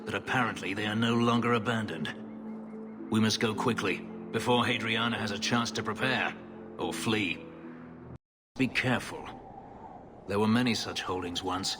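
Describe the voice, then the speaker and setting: An actor playing a brooding male elf, an escaped slave and warrior, speaking in a grave, measured, bitter way. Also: fairly low pitch, natural voice. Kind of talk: video game voice acting. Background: game music score.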